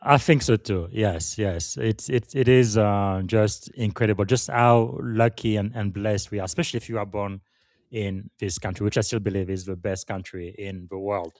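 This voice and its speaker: A man's voice: fairly low pitch, fairly even intonation, normal speed, darkish tone, no echo. Male podcast host from Congo, dry sound. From Congo, Central Africa. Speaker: podcast host interviewing a guest. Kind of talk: conversational live interview.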